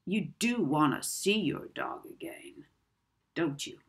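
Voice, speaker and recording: Woman voicing a husky, sinister male blackmailer. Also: on the low side, animated, in a whisper, full character voice. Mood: calm threat. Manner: soft-spoken, lazy drawl with deliberate pauses. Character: sinister, manipulative male blackmailer, smooth and menacing. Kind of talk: children's audiobook character voice.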